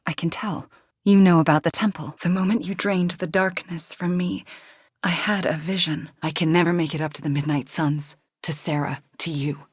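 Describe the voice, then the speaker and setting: A woman voicing a haunted young sorceress superheroine in a video game, earnest and wry, with a soft, breathy voice. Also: lowish voice, steady tone, moderate pace, no room sound, studio quality. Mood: earnest, confiding.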